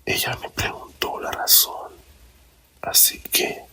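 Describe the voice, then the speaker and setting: Slow whispering man, ASMR storytelling. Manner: soft, slow, deliberate whispering. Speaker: storyteller reading own written story.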